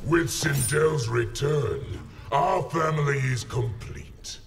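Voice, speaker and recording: A man playing a brutal, arrogant warlord emperor in a fighting game, gravelly, menacing and imperious. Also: mid-range voice, takes it slowly, very noisy. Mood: commanding. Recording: distant, long hall reverb, music behind.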